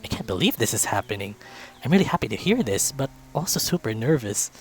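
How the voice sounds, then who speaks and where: A man's voice: medium pitch, sing-song, fast talker, slight background noise, lightly acted. A man voicing a nervous, curious young ambassador, the self-conscious hero of a visual novel.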